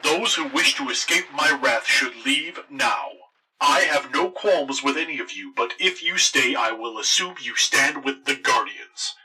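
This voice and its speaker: Wrathful villain: very forceful, husky, formal threats, slightly off mic. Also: male voice, medium pitch, animated, a little slow, recorded from a distance, exaggerated voice, rough recording. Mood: threatening. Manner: formal, imperious.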